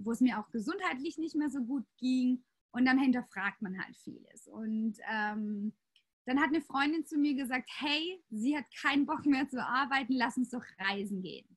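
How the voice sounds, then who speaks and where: A woman's voice: high-pitched, fairly bright tone. A woman in her late twenties from Austria, a yoga teacher telling her story in a casual interview with many fillers. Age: 20s.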